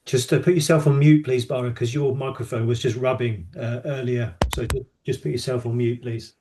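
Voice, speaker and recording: A man's voice: medium pitch, fairly even intonation, brisk, background noise. A man hosting an online travel talk in a casual, polite, slightly hesitant conversational manner. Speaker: host of online travel roadshow. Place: indoors on a video call.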